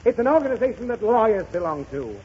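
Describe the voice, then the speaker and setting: A man's voice: sits high, big pitch swings, quick pace. A man playing an exasperated, blustering father in a 1930s radio comedy. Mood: irritated. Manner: irritable, blustering. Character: exasperated, flustered father, a pompous lawyer. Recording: muffled, hissy 1930s radio recording.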